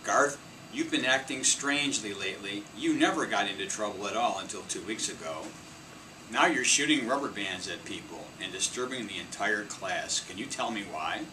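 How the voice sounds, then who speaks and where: A man's voice: on the low side, animated, normal speed, natural voice. Guy reading as a strict lady teacher in a kids story, firm, noisy far-off recording. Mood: concerned, probing. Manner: scolding, firm, questioning. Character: stern, irritated female schoolteacher. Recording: distant mic, clear air-conditioning hum.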